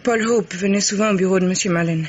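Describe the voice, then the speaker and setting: An actress playing a frightened, defensive young woman accomplice under questioning, halting and insistent, in old French radio mystery style. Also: medium pitch, natural voice. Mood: anxious confession. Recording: muffled, hissy old radio drama recording.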